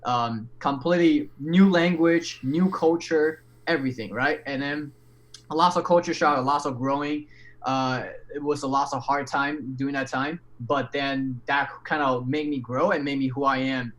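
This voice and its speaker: A man, an English speaker, telling his story as a podcast guest in an animated, candid way with frequent restarts and casual swearing. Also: mid-range voice, normal speed. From Taiwan.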